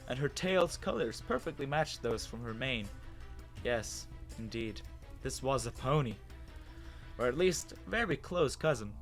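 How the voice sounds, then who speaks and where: A man's voice: mid-range voice, fairly bright tone. A man as a bewildered, hesitant human narrator. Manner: hesitant, dramatic, wondering. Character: bewildered human man with lost memory, narrating his story. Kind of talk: first-person dramatic story narration.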